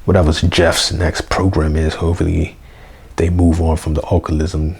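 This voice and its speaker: A young man, hoarse, rambling through a casual unedited review vlog with lots of fillers and slang. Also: low voice, flat delivery, moderate pace, darkish tone. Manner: rambling, full of fillers like "you know" and "man". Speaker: wrestling review vlogger. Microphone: basic mic, plans a new one.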